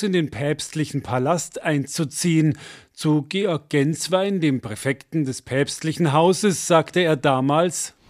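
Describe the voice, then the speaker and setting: A man working as a radio reporter, narrating a scripted news feature. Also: on the high side.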